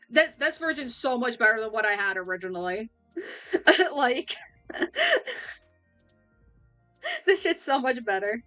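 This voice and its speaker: Giggly, bright-voiced young woman streamer. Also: a little high. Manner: giggly, laughs often, rambling with restarts. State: losing her voice. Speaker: livestreamer. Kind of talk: casual chat.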